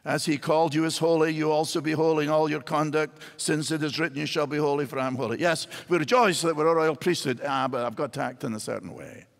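A man's voice: fairly high pitch, steady tone, quick pace, creaky, few pauses. An older man with a Scottish accent, preaching a sermon from a church stage with wide gestures. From Scotland. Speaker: pastor. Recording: hall reverb in a large church.

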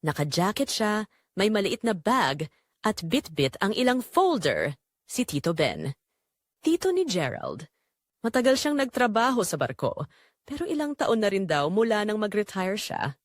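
Lonely young Filipina wife played by a woman, confessional audio drama narration, earnest, expressive melody, dry studio sound. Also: mid-range voice, a little slow, no echo. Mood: uneasy, reflective. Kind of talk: scripted first-person audio drama narration.

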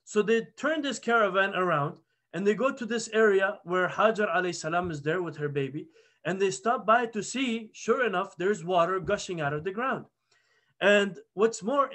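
A man's voice: high-pitched, fairly bright tone. A man in his thirties teaching a halaqa, earnestly retelling a prophet's story with frequent restarts, recorded on a webcam indoors. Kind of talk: storytelling religious lesson. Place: plain indoor room. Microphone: webcam video call.

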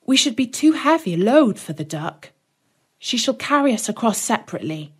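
A frightened little girl from a storybook, small and tearful, pleading in a high, wavering voice, as a woman reading the tale puts her on. The pitch sits well above an adult's, drawn out and swooping. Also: big pitch swings, moderate pace, husky, heavily acted. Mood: thoughtful.

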